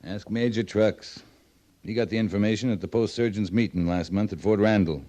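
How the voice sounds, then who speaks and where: An actor playing a terse, dry-witted American cavalry captain in an old-time radio western. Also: male voice, fairly low pitch, brisk, muffled recording, close to an everyday voice. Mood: blunt, skeptical. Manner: terse, deadpan, plain-spoken.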